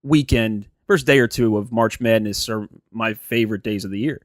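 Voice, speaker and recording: A man's voice: on the low side, moderate pace, no echo, clean studio sound. An American man co-hosting a casual, conversational sports chat, sharing his opinions freely. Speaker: sports podcast co-host.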